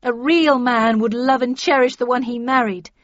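Morally outraged Victorian wife, read by a woman, angry, high-pitched, righteous, storybook melodrama. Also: fairly even intonation, moderate pace, dry recording, highs cut off, close to an everyday voice. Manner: indignant, preachy.

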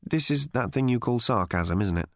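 A man's voice: mid-range voice, animated, moderate pace, gravelly, dry recording, clean studio sound. A man voicing an impatient, fretful alien man who talks in a blunt, pressing way. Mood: urgent, serious.

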